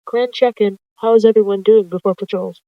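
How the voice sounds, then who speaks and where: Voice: mid-range voice, steady tone, a little fast, dry recording, phone-quality sound, natural voice. A worried tomcat deputy, as voiced by a storyteller, insistent and full of questions. The voice is close and dry, in a roleplay story. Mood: concerned.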